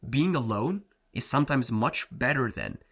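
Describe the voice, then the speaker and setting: A man's voice: medium pitch, animated, moderate pace, a bit bright, slightly rough. A man whose first language is Turkish, a self-improvement coach giving a blunt, slangy motivational talk, often saying "bro" and "like". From Turkey.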